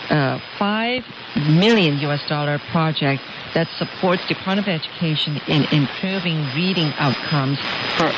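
A man with a fairly high voice reads scripted news as a radio presenter. His delivery is formal and measured, phrase by phrase. The shortwave broadcast is dull and lo-fi, with noise clearly over it.

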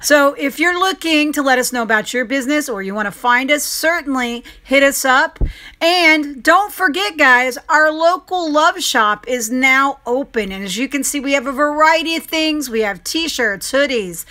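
A woman's voice: sits high, sharp tone. A woman hosting a local show, narrating with chatty enthusiasm and easy fillers. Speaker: host. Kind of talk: upbeat local promo narration.

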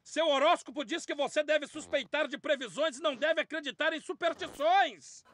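A man's voice: extremely high pitch, normal speed, fairly bright tone, slight background noise. A man voicing a chirpy, dim, blunt young bread sidekick in a cartoon, with a Brazilian accent. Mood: amused. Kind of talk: animated cartoon dialogue.

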